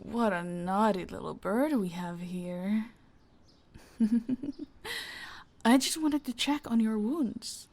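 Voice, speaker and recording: A woman playing a possessive, teasing mafia boss in an intimate audio roleplay, commanding and often dropping toward breathy whispers. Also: medium pitch, darkish tone.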